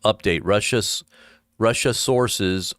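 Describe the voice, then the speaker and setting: A man's voice: lowish voice, slow pace, fairly bright tone, dry recording, clean studio sound. A man hosting a casual live stream, reading news headlines aloud and adding wry asides with chuckles. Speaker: host of an online ministry live stream.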